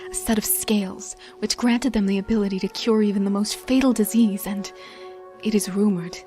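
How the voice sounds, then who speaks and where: A woman playing a determined adventurer who narrates a mission briefing in a hushed, solemn, measured manner. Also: mid-range voice, lightly acted. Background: music.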